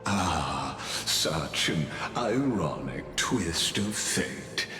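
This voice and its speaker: A taunting, theatrical villain from a video game, narrating doom with pompous relish. The voice is low and extremely unhurried, ringing in an echoey hall over music. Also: male voice, expressive, exaggerated voice. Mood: grim irony. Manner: pompous, mocking, drawn-out delivery. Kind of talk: dramatic scripted game monologue.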